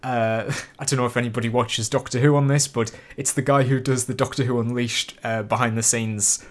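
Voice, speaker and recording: A man's voice: mid-range voice, fairly even intonation, a little fast. A man hosting a casual live stream, chatty and rambling while getting over a cold with a cough and sniffle. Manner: chatty and rambling, with many fillers and restarts. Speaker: YouTube host streaming a live reaction.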